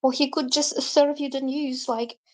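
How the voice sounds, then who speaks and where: High-voiced woman reading tarot. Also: little pitch movement, normal speed, a little mellow. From Bulgaria. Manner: chatty, loose and rambling. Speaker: tarot reader. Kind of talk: live-stream tarot reading.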